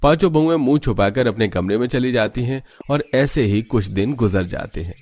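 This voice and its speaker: A man's voice: medium pitch, expressive, moderate pace, darkish tone, faint music behind. A man reading scripted narration for a cartoon family story.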